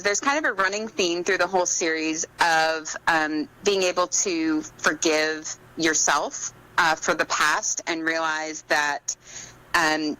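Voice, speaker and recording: A woman's voice: deep voice, pushed and bright, poor audio. A woman being interviewed as an author, talking casually and reflectively, in an earnest, explanatory way.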